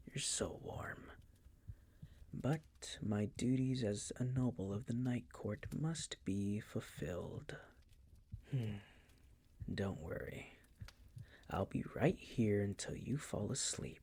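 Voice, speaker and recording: A man playing a seductive, possessive vampire noble who dotes on his servant, in an intimate ASMR roleplay with a breathy, gravelly, crooning whisper. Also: on the low side, darkish tone, exaggerated voice. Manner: slow, crooning, teasing, possessive.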